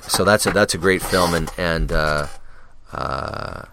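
A man's voice: low-pitched, takes it slowly, vocal fry, noisy background. A man guesting on a podcast, chatting casually about his work, rambling with many fillers and restarts. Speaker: podcast guest, radio DJ and actor. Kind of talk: casual interview chat.

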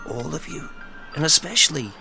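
An actor playing a solemn, probing priest who investigates spirits in an audio play, grave and cryptic in manner. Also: male voice, fairly high pitch, big pitch swings, moderate pace, creaky, over music, low-quality recording. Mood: gently insistent. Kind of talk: dramatic audio play acting.

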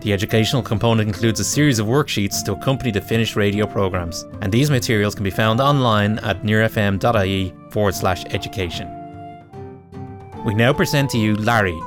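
A man's voice: lowish voice, fairly quick. A man reading a scripted radio introduction as announcer. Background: guitar music.

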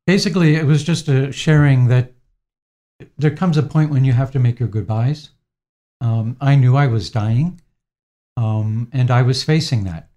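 Soft-spoken priest in his sixties. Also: mid-range voice. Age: 60s. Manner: gentle, unhurried, frequent fillers. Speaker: priest, interviewee. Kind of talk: reflective interview answers.

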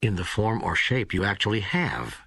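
An earnest seeker in an audiobook dialogue with God. The voice is fairly low and husky, with very animated intonation. It searches and protests, in a dry, close recording. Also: male voice, normal speed, dry recording, full character voice. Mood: insistent. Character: earnest, questioning man seeking answers from God.

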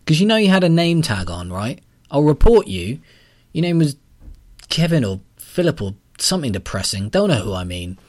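A man voicing an irritable customer who snaps and complains loudly. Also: on the low side, normal speed, hardly any pauses, slightly rough. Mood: exasperated. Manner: exasperated, loud, curt. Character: irritable young man, fed up with the bank.

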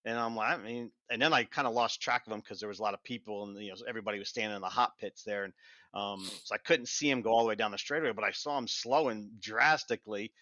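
Muffled lo-fi podcast audio, american guy a bit low but bright, rambles and restarts while chatting about racing. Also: fast, no room sound. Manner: rambling, repeats and restarts phrases. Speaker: motorsport reporter, podcast panelist. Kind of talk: casual race discussion. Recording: dull lo-fi, very dry sound.

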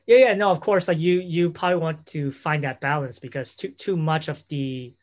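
Man, interviewer, higher pitch, rambling casual questions, filler-heavy. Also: moderate pace. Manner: rambling, leaning on "you know" and "right?".

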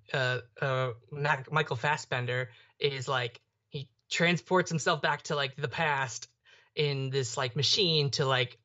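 A man's voice: mid-range voice, pushed and bright. A young man co-hosting a casual podcast, rambling and often restarting his thoughts midway. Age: young adult. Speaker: podcast co-host. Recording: slightly dull, muffled audio.

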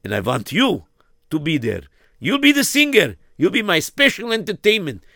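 A man's voice: sits high, huge pitch swings, normal speed, vocal fry, bright, forceful tone, no echo, clean studio sound, heavily acted. A man voicing a pompous count who speaks in broken English and coaxes grandly. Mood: coaxing. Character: pompous male count, eager nobleman in uniform. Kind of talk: acted storytelling.

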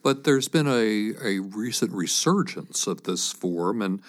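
A man hosting an interview, putting questions to a guest in a measured way. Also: lowish voice, animated. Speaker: interview host.

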